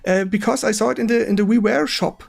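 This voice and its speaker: A man with a German accent hosting a casual gaming stream interview in English, speaking haltingly and repeating words with effusive enthusiasm. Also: high-pitched, steady tone, vocal fry, darkish tone. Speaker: host of a retro gaming stream.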